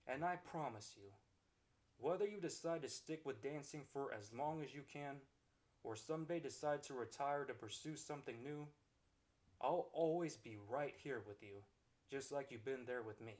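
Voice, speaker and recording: A man's voice: mid-range voice, normal speed, a little mellow, close to an everyday voice. A man voicing a kind-hearted, accepting boyfriend in a romantic ASMR roleplay, speaking in a tender, earnest and reassuring way. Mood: tender, devoted. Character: kind-hearted, devoted, accepting boyfriend comforting his girlfriend.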